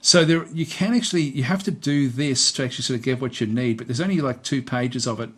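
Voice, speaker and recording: A man with a mid-pitched voice presents a software walkthrough in an informal, off-the-cuff way. He rambles and hesitates, circling back over the same points as he explains each step. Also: quick pace, breathy edge. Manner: rambling, hesitant, repeats himself. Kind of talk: casual unscripted screen-recorded tutorial and review.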